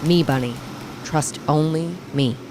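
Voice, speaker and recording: A woman's voice: low-pitched, measured pace, noisy background. A woman playing a skeptical, self-protective guardian with a firm, curt manner in a radio drama. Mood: firm, guarded.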